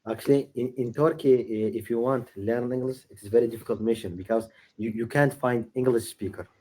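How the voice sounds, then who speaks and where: A young man from Iraq, practicing English on a video call, halting and stopping often to search for words. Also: mid-range voice, fairly even intonation, warm tone. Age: late teens. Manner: halting, many uh fillers and restarts. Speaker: English learner. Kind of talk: casual video-call conversation.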